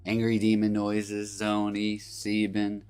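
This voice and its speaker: Deep, stuffy-voiced man chatting on stream. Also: monotone, takes it slowly, a little mellow, faint music behind. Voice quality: raspy. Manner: laid-back, joking, greets chat by name. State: congested, stuffy from a cold. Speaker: stream co-host. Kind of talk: casual live-stream chat.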